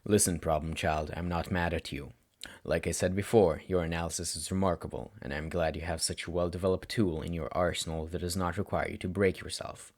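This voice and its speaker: A low male voice for a stern, weary teacher in an audiobook story, put on by a male narrator. He sounds gruff and cold on the surface, yet caring underneath, and keeps his delivery flat and restrained. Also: a little fast. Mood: stern, reassuring.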